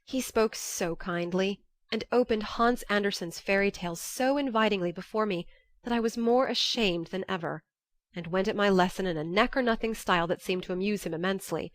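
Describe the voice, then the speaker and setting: A woman reading scripted first-person literary narration for an audiobook, telling it in a measured, expressive way. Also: medium pitch, no room sound.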